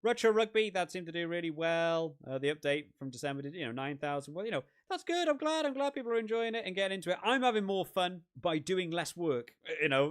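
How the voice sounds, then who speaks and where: A man from the UK talks casually on a home live stream, reading and answering chat. He rambles and restarts his sentences often. His voice sounds worn and a little hoarse after a long session. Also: mid-range voice. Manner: rambling, chatty, many restarts. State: voice wearing out, hoarse. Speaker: rugby gaming channel host.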